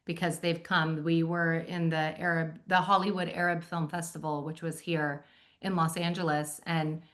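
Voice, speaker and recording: A woman's voice: deep voice, little pitch movement, normal speed, a bit bright. A woman filmmaker answering interview questions in a matter-of-fact way.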